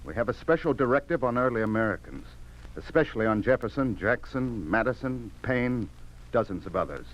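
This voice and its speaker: Actor as weary newspaper editor, muffled sound. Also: male voice, mid-range voice, expressive, brisk, close to an everyday voice. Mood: resigned. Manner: resigned, explanatory. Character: weary, cynical newspaper editor, deferential to his boss. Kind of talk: 1950s radio drama acting. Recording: muffled 1950s radio recording.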